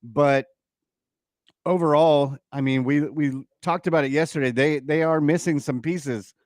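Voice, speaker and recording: A man co-hosting a sports radio show, chatting casually and haltingly as he poses questions about the game. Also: on the high side, steady tone, a little mellow, no echo, clean studio sound. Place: radio studio.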